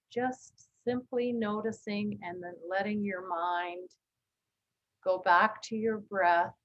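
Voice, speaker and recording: A woman leading a guided body scan meditation, speaking gently and calmly with long, unhurried pauses. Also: medium pitch, highs cut off. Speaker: meditation teacher.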